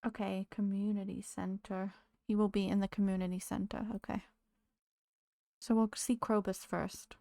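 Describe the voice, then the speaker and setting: Woman game streamer, mid pitch, gravelly and dry close-mic sound, voice going hoarse. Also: flat delivery, moderate pace, mellow, dry recording. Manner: chatty, greets viewers, talks to game characters. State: losing her voice. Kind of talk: casual live-stream chat while playing.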